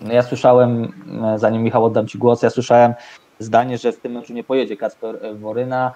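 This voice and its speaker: Very mellow young male host. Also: lowish voice, lo-fi sound. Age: young adult. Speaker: host of a sports talk show. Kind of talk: casual sports discussion. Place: indoor room at home, video call. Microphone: video call microphone.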